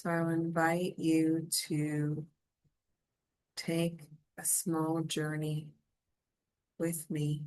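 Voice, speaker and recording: A woman leading a guided meditation in a soothing, gentle and inviting manner. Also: sits low, monotone, extremely slow, long pauses, rough recording.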